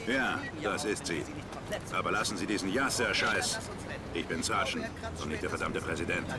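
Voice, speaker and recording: An actor playing a gruff, weary, seasoned army sergeant who talks bluntly and gives commands. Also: male voice, low voice, moderate pace, a loud crowd, natural voice. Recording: loud vehicle and outdoor noise.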